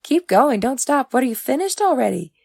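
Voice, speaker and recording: A woman's voice: sits high, big pitch swings, brisk, vocal fry, darkish tone, husky, dry recording, studio quality, pitched above the performer's own voice, exaggerated voice. A woman voicing an exuberant, teasing young man with a flamboyant, playfully dramatic way of talking in an audiobook. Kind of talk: audiobook character dialogue.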